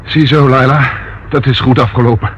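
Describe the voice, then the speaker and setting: An actor in a Dutch radio play playing a calm, protective police inspector, measured and firm. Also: male voice, mid-range voice, lightly acted. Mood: soothing. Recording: dull old radio recording with noise.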